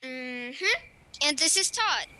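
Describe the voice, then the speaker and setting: A young girl acts as a cocky, streetwise dragon in a children's audio drama. The voice is high and very bright, wry and casual in delivery. There is faint noise behind it. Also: expressive, extremely slow, exaggerated voice. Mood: wry, defiant.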